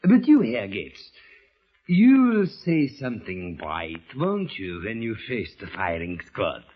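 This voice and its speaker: A sadistic Nazi colonel with a husky voice and German accent. He taunts slowly and theatrically, swinging widely in pitch, in an old radio play. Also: huge pitch swings, heavily acted. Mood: taunting menace. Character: sadistic, gloating, fat Nazi colonel, quick to rage. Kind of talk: 1940s radio acting. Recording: muffled vintage radio recording.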